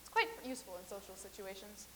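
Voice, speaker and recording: A woman's voice: mid-range voice, expressive, quick pace, bright, forceful tone, slight background noise, low-quality recording. A woman in a staged reading playing an earnest, formal rebel from the future. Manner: earnest, formal and matter-of-fact.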